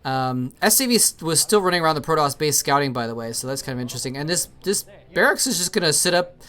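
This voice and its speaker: A man's voice: a little high, animated, fast, bright, forceful tone, clean tone. A young man hosting and commentating an old esports match solo, chatty and rambling with many fillers, lighting up at the plays. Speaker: esports commentator, show host.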